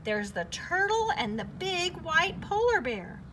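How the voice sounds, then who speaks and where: A woman teacher reading a children's picture book aloud, expressive, with simple prompts aimed at young listeners. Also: extremely high pitch, measured pace, a little hiss or hum.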